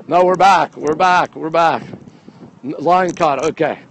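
A middle-aged man hosting a casual walking live stream, chatty and excitable, often repeating himself as he reacts to viewers. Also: fairly high pitch, measured pace. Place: outdoors on busy city streets, walking. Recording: outdoor street and traffic noise.

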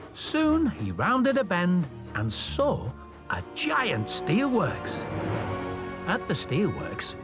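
Male children's narrator, unhurried, hugely varied intonation, over music. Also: high-pitched. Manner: expressive, dramatic storytelling. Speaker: storybook narrator. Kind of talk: scripted children's story narration.

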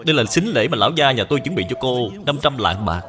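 Man voicing an eager, animated young suitor. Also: medium pitch, fast, a crowd in the background, natural voice. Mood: eager, insistent. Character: earnest, eager well-to-do young male suitor. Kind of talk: dubbed animated moral tale. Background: background music.

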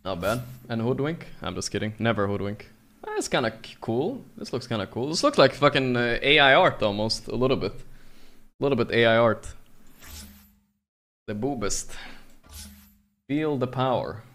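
A male streamer talks at home into a desk mic. He reacts off the cuff in a loose, profane way, with quick laughing asides. His voice sits at an ordinary middle pitch. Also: expressive, fairly bright tone, slight background noise. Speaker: streamer reacting to game content.

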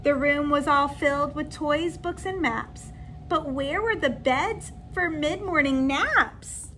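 A woman in her forties, a kindergarten teacher, reading a storybook aloud to young children in an expressive, animated way. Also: high voice, slow pace, slight background noise. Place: indoor classroom.

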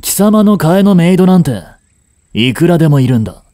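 A man's voice: a little high, very animated, a little fast, warm tone, no room sound, clean studio sound. A man playing a cold, haughty young prince in a romantic audio drama, curt and imperious but secretly protective. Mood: cold, commanding.

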